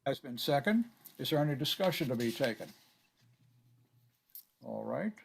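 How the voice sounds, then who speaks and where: An older man chairing a board meeting on a video call, courteous and halting with many filler sounds. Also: mid-range voice, very animated, vocal fry.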